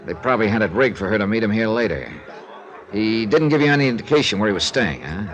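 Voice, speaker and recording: A man's voice: fairly low pitch, animated, fast talker, fairly bright tone. A man playing a wisecracking, hard-boiled male insurance investigator in a radio drama, talking in a tough, terse, sardonic way. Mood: probing. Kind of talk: 1950s radio drama acting. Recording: radio drama with music underneath.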